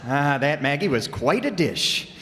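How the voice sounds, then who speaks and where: A man's voice: a little high, very animated, unhurried, a bit bright. A man acting a breezy, oblivious husband in an old-time radio sitcom, with exclamatory comic delivery. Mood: eager, breezy. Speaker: amateur radio player. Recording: echoey hall stage performance.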